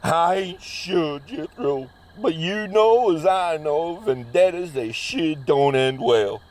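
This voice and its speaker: A man acting expressive, exasperated everyday characters in a scripted comedy audio drama. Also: a little high, slow pace, a crowd in the background. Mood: folksy concern. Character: excitable, exasperated men: hillbilly and pizzeria owner brother.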